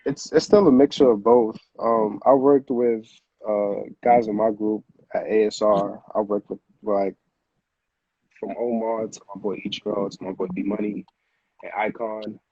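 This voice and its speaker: A man's voice: lowish voice, little pitch movement, unhurried, dark tone, breathy edge, dry recording. A man, talking casually as an interview guest in slangy, repetitive phrasing, on a dull lo-fi recording. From Honduras, moved to the Bronx at eight. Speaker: music producer, interview guest.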